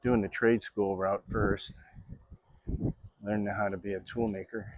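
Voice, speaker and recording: A man's voice: fairly low pitch, flat delivery, a little slow, no echo, low-quality recording. A man in his sixties from Florida, chatting casually in a rambling vlog while riding a bike on an outdoor trail. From Florida, USA. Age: 60s. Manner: rambling, chatty, with many fillers. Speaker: vlogger.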